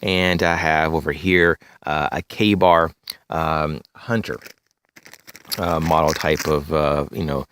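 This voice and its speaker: A man with a very low voice, a little raspy and congested, talks through a gear review in a loose, rambling way full of "uh" and "um". The sound is dry and close, recorded outdoors among fallen leaves. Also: little pitch movement, takes it slowly, fairly bright tone, no room sound. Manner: rambling, many fillers, frequent throat clearing. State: congested, slightly raspy from allergies. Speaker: gear reviewer, channel host. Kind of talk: casual show-and-tell gear review. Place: outdoors in leafy woods, bag on a chair.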